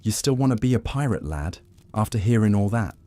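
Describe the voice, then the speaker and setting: A man voicing a grizzled, world-weary old pirate with a rough, raspy voice, telling grim tales in sailor dialect for a story. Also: lowish voice, expressive, brisk, dark tone, no room sound, pitched above the performer's own voice, natural voice. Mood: grave.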